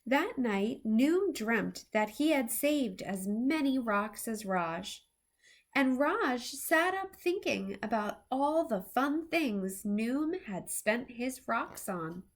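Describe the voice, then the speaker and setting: A woman reads a picture book aloud for young children. Her voice sits high and moves freely up and down, with an unhurried, carefully expressive storytime delivery.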